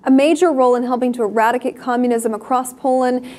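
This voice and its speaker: A woman's voice: sits high, fairly even intonation, fast, a little mellow. Young woman hosting a studio news chat. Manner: chatty, many fillers and restarts. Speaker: news show host. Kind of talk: casual news commentary. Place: TV studio desk. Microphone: lapel mic.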